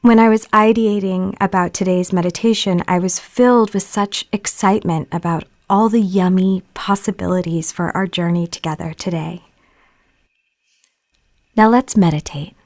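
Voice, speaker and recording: An actress playing a serene, earnest film star who leads a guided meditation in a soft, soothing, deliberate voice for an audio play. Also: female voice, lowish voice, dry recording, studio quality, close to an everyday voice.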